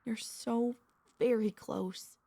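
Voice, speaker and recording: A woman playing a tender, anxious, possessive vampire mistress in an intimate ASMR audio roleplay, speaking in soft, formal, pleading phrases with dramatic pauses. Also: a little high, fairly even intonation, gravelly, dry recording, full character voice. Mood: soothing.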